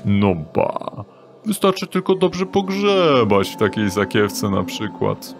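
A sly, burly doorman thug with a thick, unpleasant voice. He drawls slowly in a low register and mocks whoever he talks to. Soft music plays underneath. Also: sing-song, creaky, full character voice. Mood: mocking. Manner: mocking, teasing, drawling. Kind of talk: audio drama acting.

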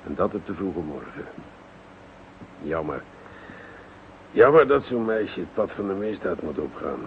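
An actor playing a pompous, bumbling, self-satisfied police commissioner in a radio play, muttering and blustering self-importantly. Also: male voice, deep voice, expressive, unhurried. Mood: musing. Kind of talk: radio drama acting. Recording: muffled, noisy old radio recording.

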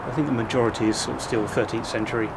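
Brief: vlogger, fifties, rambling chat, constant motorway noise behind. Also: male voice, medium pitch, fairly even intonation, vocal fry. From England. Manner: chatty, rambling, pauses to muse. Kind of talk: casual walking vlog. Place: outdoors by a country churchyard near a motorway. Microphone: handheld camera at arm's length. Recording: loud traffic noise behind.

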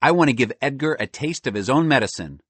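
Storybook schoolboy acted by a man: earnest, bold plotter, raised pitch, lively. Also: fast, breathy edge, no room sound. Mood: eager. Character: determined, scheming fifth-grade boy.

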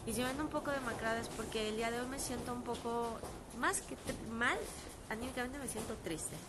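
A woman in her thirties with a Mexican accent talks casually and earnestly into her phone on an outdoor terrace. She is hoarse from a sore throat and dry cough, and she pauses often with fillers. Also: fairly high pitch, fairly even intonation, heavy background noise. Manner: earnest, halting, with frequent fillers. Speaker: actress streaming live. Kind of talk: casual live-stream talk. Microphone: phone at arm's length.

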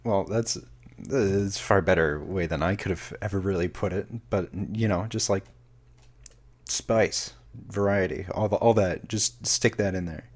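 A man with a very low voice hosting a relaxed podcast interview. He talks haltingly, searching for his words as he asks questions. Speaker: podcast host. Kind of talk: casual interview.

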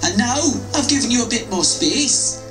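A man's voice: extremely high pitch, normal speed, echoey room, pitched above the performer's own voice, low-quality recording. Smug TV boss played by a man in a clumsy Welsh accent, in a home office with music. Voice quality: put-on Welsh accent, lively. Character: man doing a pompous Welsh showrunner on a video message. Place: small home office, indoors.

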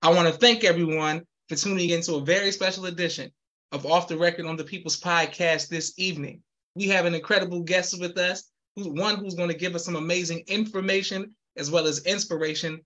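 A man's voice: high-pitched, pushed and bright. A man hosting a live podcast over a video call, formal and deferential, often saying "yes, sir.". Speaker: podcast host. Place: indoor room with wall of posters. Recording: dull lo-fi video-call audio.

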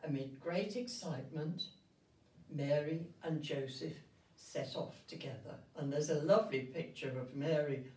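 An older woman reads a children's story aloud with measured, deliberate phrasing and pauses. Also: low voice, mellow. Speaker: story reader. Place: indoor room, seated before a festive backdrop. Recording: roomy, distant microphone.

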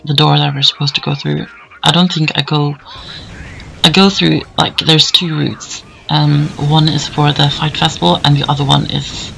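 A hoarse man talks through a game in an easygoing, meandering way, padding his sentences with fillers. His voice sits a little high and bright, and has a heavy gravelly fry. Faint game music plays beneath him. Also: rough recording. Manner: rambling, easygoing, many fillers. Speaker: let's play commentator. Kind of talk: casual gameplay commentary voice-over.

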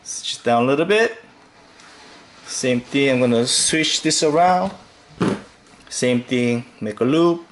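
A man hosting a fishing tutorial, calmly talking through each step with occasional fillers as he ties knots. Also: medium pitch, a little hiss or hum. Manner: slow, relaxed instructional talk with fillers. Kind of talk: step-by-step demonstration voice-over.

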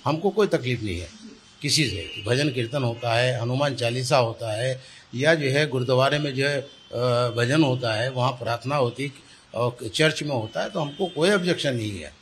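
An older man answers in a news interview. His pitch is mid-range and he explains things with emphatic repetition. Some room noise sits behind his voice. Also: normal speed, fairly bright tone. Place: small indoor office. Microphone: handheld reporter's microphone held close. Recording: clear background room noise.

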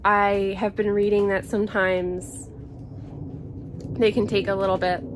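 A woman in her thirties giving a casual, explanatory homestead vlog, unhurried and pausing mid-sentence. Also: mid-range voice, flat delivery. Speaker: homesteading vlogger. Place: outdoors in a covered chicken run and coop. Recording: outdoor ambient noise.

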